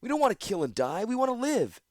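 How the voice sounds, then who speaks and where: A man's voice: very high-pitched, very animated, fast, no echo, studio quality, voice pushed up. A man voicing a desperate young hooded male outcast who pleads in a raised voice. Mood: pleading.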